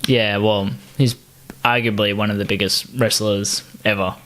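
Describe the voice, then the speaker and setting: A man co-hosting a podcast in casual chat, animated and quick to laugh. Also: on the low side. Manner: animated, laughs between phrases. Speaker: podcast co-host.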